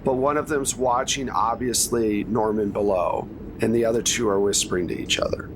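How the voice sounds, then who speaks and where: A man's voice: lowish voice, steady tone, normal speed, background noise. A man running a tabletop game as game master, narrating scenes casually and prompting players in a conversational, improvised way.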